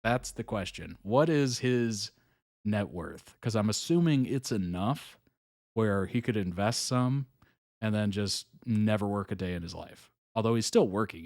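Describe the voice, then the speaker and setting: Easygoing low-voiced man musing aloud. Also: no echo, clean studio sound. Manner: easygoing, musing, frequent fillers and chuckles. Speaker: reaction video host. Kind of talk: casual live reaction chat.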